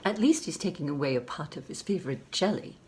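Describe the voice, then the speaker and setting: A woman playing a tactful, hospitable married lady of a vicarage in a dramatized novel reading, polite and measured. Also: deep voice, animated, a little mellow. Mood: wry.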